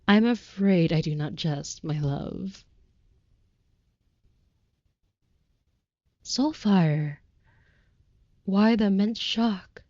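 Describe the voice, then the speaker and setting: A devoted female vampire lover, acted by a woman speaks low and very unhurriedly in an intimate comfort roleplay. Her phrasing is courtly, old-fashioned and tender, with an earnest, protective air. The recording is close, dry and slightly dull. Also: a little mellow, no room sound.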